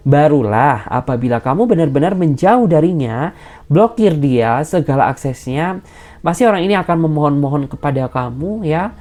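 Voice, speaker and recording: A woman giving a general tarot reading straight to the viewer, advising and reassuring, and tagging nearly every phrase with a soft "ya". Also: sits low, lively intonation, normal speed. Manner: advising and reassuring in a direct, personal way. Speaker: tarot reader.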